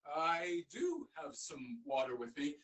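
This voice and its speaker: A man voicing a kind, poor young man, speaking softly and hesitantly. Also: a little high, big pitch swings, slow, recorded from a distance, pitched below the performer's own voice, exaggerated voice. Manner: soft, hesitant, sighing.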